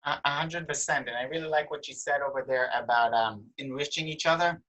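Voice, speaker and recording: A man's voice: mid-range voice, a little fast, bright, forceful tone, rough recording. Man hosting an interview. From Israel. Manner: chatty, affirming, anecdotal. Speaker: interview host. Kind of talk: conversational interview.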